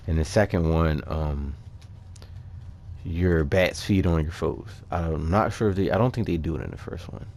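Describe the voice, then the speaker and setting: A man with a low-pitched voice presents a gaming video as its host. He speaks informally and conversationally, as if to regular viewers. His delivery is a little hesitant as he casually explains things over game footage. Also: a little mellow. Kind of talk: casual game build commentary. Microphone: voice-over on a microphone over game capture.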